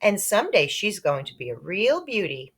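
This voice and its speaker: A woman voicing a doting, harried mother in a children's story, coaxing and expressive. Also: mid-range voice, normal speed, breathy edge, pitched above the performer's own voice, full character voice. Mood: admiring. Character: doting, harried mother of two young boys.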